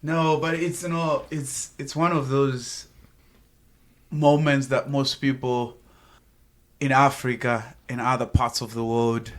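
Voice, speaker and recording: A man's voice: mid-range voice, level tone, slow pace. A man in his thirties from Zimbabwe, with a Zimbabwean accent, talking earnestly and gratefully as a guest on a podcast. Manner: earnest, grateful, joking at times. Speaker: sales team guest. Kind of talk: casual podcast interview.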